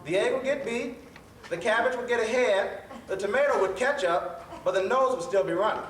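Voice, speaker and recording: A man playing a cheerful professor who hosts a children's storytelling stage show, speaking haltingly and stumbling over his words. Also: sits high, natural voice. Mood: upbeat, presenting. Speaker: storyteller host. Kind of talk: live children's theatre hosting, telling jokes. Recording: echoey stage, distant mic, faint noise.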